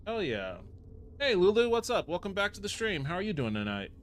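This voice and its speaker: A man's voice: sits high, big pitch swings, a little fast, a little hiss or hum. A man raised in Japan, live-streaming a game and chatting casually with his viewers. He is upbeat, thanks people by name and swears casually. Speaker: livestreamer.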